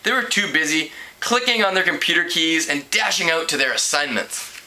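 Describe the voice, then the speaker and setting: Very bright-voiced man reading a story. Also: a little high, animated, normal speed. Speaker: amateur book reader. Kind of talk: read-aloud story narration.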